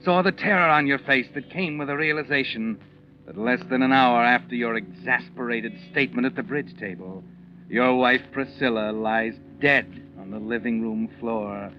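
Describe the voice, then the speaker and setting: An actor playing an ominous, all-knowing mystery narrator in 1940s radio suspense style. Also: male voice, mid-range voice, moderate pace, slight background noise, close to an everyday voice. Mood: grim, ominous. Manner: ominous, addressing the character as "you". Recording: dull old 1940s radio recording, organ music. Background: dramatic music.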